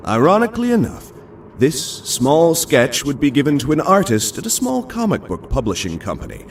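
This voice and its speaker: A man's voice: a little high, wildly swooping pitch, normal speed, far from the mic, slight background noise. A man reading scripted dramatic narration for an audio drama.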